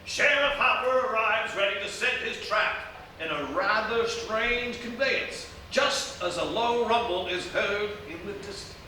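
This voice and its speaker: An actor playing a dramatic radio serial narrator, reading scripted scene-setting in a live comic show. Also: male voice, high voice, measured pace, sharp tone, a little hiss or hum, exaggerated voice. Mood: dramatic, deliberate. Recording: echoey live theatre from far off.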